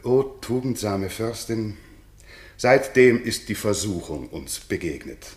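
An actor playing a courtly, gallant royal guest in a classical radio play, with poetic, declamatory delivery in an echoey room. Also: male voice, on the low side, sing-song, slow, a little mellow. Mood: nostalgic, gallant.

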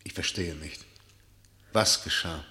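Stiff formal prosecutor, really low voice, careful hesitant questions, old radio drama. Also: male voice, takes it slowly, pushed and bright, exaggerated voice. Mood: restrained, inquiring. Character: formal male chief prosecutor questioning a convict. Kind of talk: 1960s German radio play acting.

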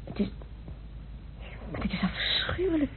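A woman's voice: sits high, very animated, measured pace. An actress playing a genteel, easily shocked lady in a radio drama, polite and given to exclamations. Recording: noisy, tinny old radio recording.

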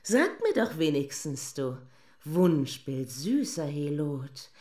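Theatrical, sensual, husky middle-aged lady. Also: low voice, very animated, slow pace, darkish tone, heavily acted. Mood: mock indignant, seductive. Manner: grandiose, breathy, dramatic phrasing with sudden whispers. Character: sensual, theatrical lady writer craving self-abasement. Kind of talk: German radio play acting.

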